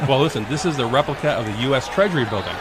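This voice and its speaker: A man giving comic live parade commentary as a guest, halting and repeating his phrases. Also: medium pitch, animated, crowd noise, poor audio. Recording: loud background noise, street sounds.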